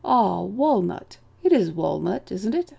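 An aged rabbit chief from a storybook, acted out by a woman, speaks with heavy creak and a soft, dark tone. He is courteous and unhurried, yet detached and quietly condescending. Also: medium pitch, big pitch swings, heavily acted. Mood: vaguely courteous. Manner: genteel, vague, patronizing, unhurried. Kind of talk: audiobook character voice.

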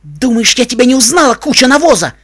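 A man's voice: fairly high pitch, animated, brisk. A man voicing a young female unicorn, earnest and fiery, with an emotional, emphatic delivery for a storybook. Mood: furious.

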